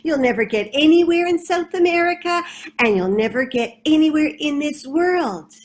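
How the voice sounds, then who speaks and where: A woman voicing a boastful male rat who taunts a slow beetle. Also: sits high, lively intonation, voice pushed up. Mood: taunting. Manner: taunting, bragging. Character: boastful speedy male rat, a mocking bully.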